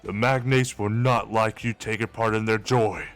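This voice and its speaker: A man playing a wary, world-weary apple merchant in a manga dub, with measured, old-fashioned phrasing. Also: lowish voice, steady tone, fairly bright tone, faint music behind, natural voice. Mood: warning. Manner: measured, archaic storybook phrasing.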